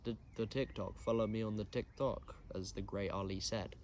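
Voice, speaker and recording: Young guy, lowish and nasal with hay fever, rambling solo podcaster, faint hum behind. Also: steady tone, normal speed, a little mellow. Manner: rambling, self-deprecating, many ums. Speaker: podcast host. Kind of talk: casual solo podcast chat.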